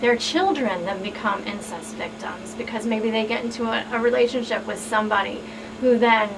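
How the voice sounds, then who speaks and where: A woman's voice: on the high side, moderate pace. A woman on a talk show panel explaining things earnestly, as someone who works in victim support. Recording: distant mic, clear background noise.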